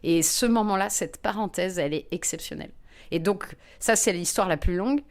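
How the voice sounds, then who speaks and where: A woman comedian, a guest in an interview, telling lively personal anecdotes with frequent fillers. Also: on the low side, fairly quick. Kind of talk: anecdotal storytelling in conversation.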